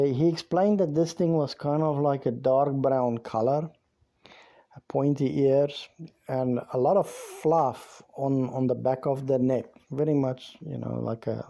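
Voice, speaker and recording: Slow, dark-voiced man. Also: mid-range voice, creaky. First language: Afrikaans. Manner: rambling, with many "you know"s and repeated words. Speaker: former police officer telling a true story. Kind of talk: casual spoken storytelling.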